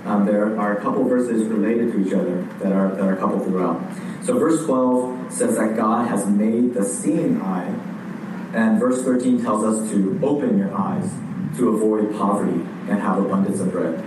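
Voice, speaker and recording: A man's voice: lowish voice, normal speed. Man preaching in an echoey, distant room. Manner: conversational, frequent fillers and restarts. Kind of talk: sermon. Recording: echoey room heard from a distance with background noise.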